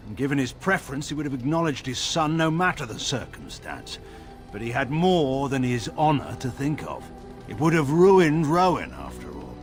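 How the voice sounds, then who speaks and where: A man playing a proud, weary, sardonic veteran warrior nobleman in a fantasy video game, speaking in a wry, grave and measured way with formal phrasing. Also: medium pitch, natural voice. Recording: studio voice with game music behind. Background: music.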